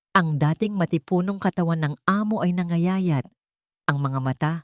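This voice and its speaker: A woman reads a scripted dramatic story as narrator, in a measured and deliberate storytelling manner. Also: fairly low pitch, darkish tone, no echo, clean studio sound.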